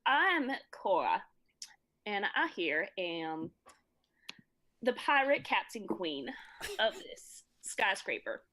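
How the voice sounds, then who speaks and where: A woman voicing a grand, commanding pirate captain queen with a Southern accent, speaking in a haughty, drawn-out way. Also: mid-range voice, very animated, sharp tone, exaggerated voice.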